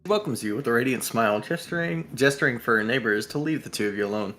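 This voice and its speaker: A man's voice: mid-range voice, quick pace, pushed and bright, faint music behind. A man reading visual novel narration and dialogue aloud on a live stream, with casual asides.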